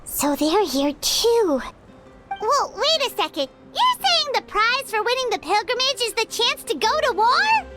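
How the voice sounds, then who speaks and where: A woman voicing a tiny, chatty, curious floating companion in an anime game, with a squeaky childlike voice. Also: very animated, unhurried, sharp tone, heavily acted. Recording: music behind.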